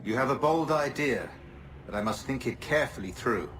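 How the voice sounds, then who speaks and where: A man's voice: mid-range voice, lively intonation, fairly bright tone, lightly acted. Actor as a distant-sounding, formal statesman. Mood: considering. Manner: formal, deliberate. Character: cautious, pompous male statesman. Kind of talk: dramatic game cutscene acting. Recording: slightly distant, faint ambient noise.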